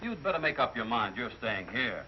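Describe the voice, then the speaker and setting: An actor playing a curt, dry army captain who speaks with brisk authority in an old film. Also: male voice, medium pitch, big pitch swings, close to an everyday voice. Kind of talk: mid-century film acting. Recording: dull old film soundtrack, faint noise.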